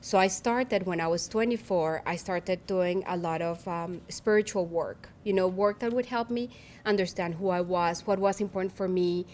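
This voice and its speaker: A woman's voice: on the low side, moderate pace, a little mellow, slight background noise. A woman in her forties with a Colombian Spanish accent, giving a personal keynote speech at a luncheon in an earnest, reflective way, often restarting her phrases. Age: 40s. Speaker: keynote speaker.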